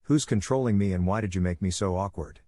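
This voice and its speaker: A man with a somewhat low voice reads a run of short posts aloud in scripted narration. His delivery is steady and even, and the sound is dry and close. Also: fast, no echo. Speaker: narrator reading online posts.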